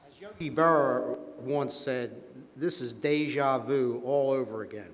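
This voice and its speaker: A man playing a formal, probing board member questioning a witness in a staged hearing, measured and deliberate. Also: medium pitch, natural voice. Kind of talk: scripted dramatization of a hearing. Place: large meeting hall with sound system. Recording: long reverb of a large hall.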